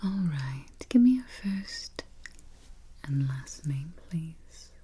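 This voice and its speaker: A woman whispering softly and calmly in an ASMR roleplay as a vampire travel agent, close to the microphone. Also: low-pitched, husky. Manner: soft whispering, unhurried and reassuring. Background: keyboard typing and taps.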